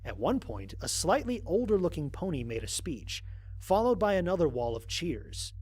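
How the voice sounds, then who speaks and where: A man playing a wry, grumbling human astronaut who records mission logs in an audio drama, speaking in a conversational, expressive way. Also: a little high, moderate pace, husky. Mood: reflective. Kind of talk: dramatized first-person log narration.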